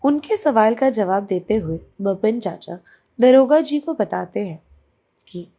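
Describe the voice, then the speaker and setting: A woman's voice: medium pitch, expressive, unhurried, mellow. A woman narrating a scripted children's story.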